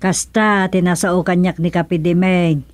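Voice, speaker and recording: A woman's voice: on the low side, fairly even intonation, a little slow, loud music behind the voice, natural voice. A woman playing a concerned, mildly disapproving married woman, conversational, in an Ilocano radio serial drama.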